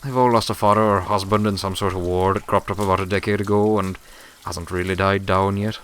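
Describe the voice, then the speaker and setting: A man acts out an elderly pony servant for a dramatic story reading. The voice sits low. He is plainspoken and folksy, always dutiful to his master. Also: a little hiss or hum, natural voice. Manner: folksy rustic phrasing, says sir deferentially.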